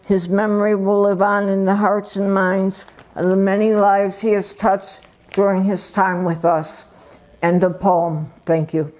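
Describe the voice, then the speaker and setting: A woman reads a poem she wrote, with very little rise and fall in her voice. Her delivery is plain and deliberate, as a member of a poetry group presenting prepared work. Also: medium pitch, level tone, darkish tone.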